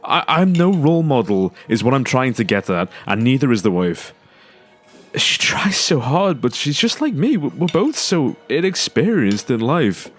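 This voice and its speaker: A man's voice: mid-range voice, very animated, normal speed. An actor playing an earnest, self-doubting father with a hesitant, stammering way of talking in an audio drama. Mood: reflective, self-doubting. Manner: hesitant, stammering, repeats words. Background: music.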